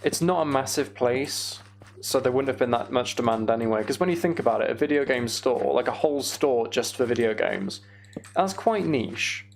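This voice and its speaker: A man's voice: mid-range voice, lively intonation, moderate pace, gravelly. A British man chatting casually on a livestream while he plays a game, rambling and thinking aloud. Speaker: streamer.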